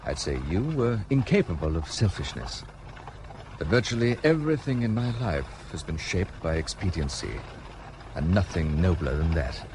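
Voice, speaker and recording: An actor playing a guarded, blunt British gentleman in colonial India, speaking formally and brusquely in a period radio play. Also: sits low, big pitch swings, normal speed, noisy background. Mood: guarded, reserved.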